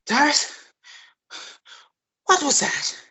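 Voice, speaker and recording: A woman's voice: extremely slow, bright, forceful tone. A woman playing a hapless, flustered man in a radio play, hesitant and stammering. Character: flustered, hapless man caught with his lover.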